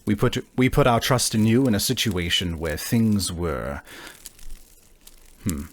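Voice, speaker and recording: A man voicing a formal, measured negotiator in a tabletop game, choosing words deliberately and haltingly. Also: on the low side, animated. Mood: solemn, cautious.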